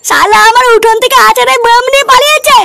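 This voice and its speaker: A performer voicing a squeaky, quarrelsome, foul-mouthed village character in a comedy cartoon. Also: fast, creaky. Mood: angry scolding. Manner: scolding, crude, slangy. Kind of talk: exaggerated cartoon comedy dialogue. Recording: music under the voice.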